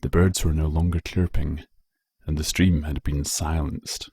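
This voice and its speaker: Male story narrator: low-pitched, measured and dramatic, recorded close and dry. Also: little pitch movement, dark tone, slightly rough, no room sound. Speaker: narrator, fan fiction reader.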